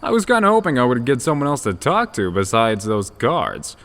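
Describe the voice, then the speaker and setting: A laid-back, flippant wolf creature from a fantasy audio drama, acted by a man. He talks in casual slacker slang with a lively, swinging pitch, and the voice rings in a long hall-like reverb. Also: fairly quick. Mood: unbothered, flippant. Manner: casual slangy drawl, unbothered.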